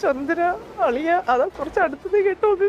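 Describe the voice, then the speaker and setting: Animated, higher-pitched woman. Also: brisk, muffled recording, slight background noise. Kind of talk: casual game chat.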